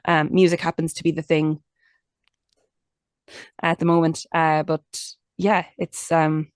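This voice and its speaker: Young Irish woman, lowish voice, candid and chatty interview guest, dry recording. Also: level tone, a little slow, no room sound. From Ireland. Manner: chatty and candid, many restarts and "like" fillers. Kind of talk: casual conversational interview.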